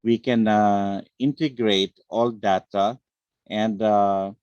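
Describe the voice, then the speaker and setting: A man on an online panel answering a question in a measured, deliberate way, tagging his points with "okay". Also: fairly low pitch, fairly even intonation, darkish tone, clear, resonant tone, no room sound. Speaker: panel expert. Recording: dry, dull lo-fi call audio.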